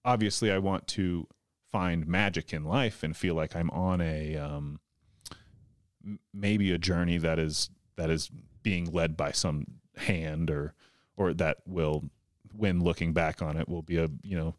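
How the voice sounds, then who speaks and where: Male, 30s, podcast guest. Deep, casual, meandering delivery, dry room. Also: steady tone, measured pace, no room sound. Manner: rambling, lots of filler words and restarts. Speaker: guest comedian.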